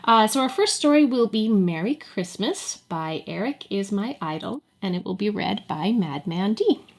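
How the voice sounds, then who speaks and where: A woman's voice: medium pitch, animated, moderate pace. A woman with a mid-range voice acts as co-host of an online event. She introduces people and segments informally, in everyday conversational speech. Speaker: co-host and presenter. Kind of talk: casual event hosting.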